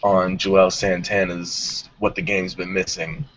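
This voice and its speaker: A man's voice: low-pitched, little pitch movement, takes it slowly, a little hiss or hum. A man hosting a music discussion show, talking casually with his panel. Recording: dull audio with music behind.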